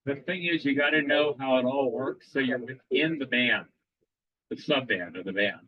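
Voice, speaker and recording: A man's voice: mid-range voice, normal speed, muffled recording. Rambling man quizzing a club audience. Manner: rambling, with frequent restarts. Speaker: presenter at an amateur radio club meeting. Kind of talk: live demo talk quizzing the audience.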